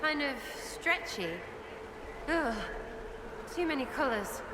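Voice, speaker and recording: An actress playing a quirky, blunt young elf woman in a video game, grumbling in a halting way. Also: high-pitched, expressive. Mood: puzzled, irritated. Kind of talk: video game party banter. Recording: noisy, echoing cavernous game ambience.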